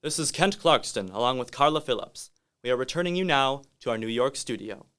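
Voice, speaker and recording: A man acting as earnest male radio reporter, middle pitch, formal interviewing, radio play. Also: animated, brisk, fairly bright tone, clear, resonant tone, natural voice. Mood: polite, formal.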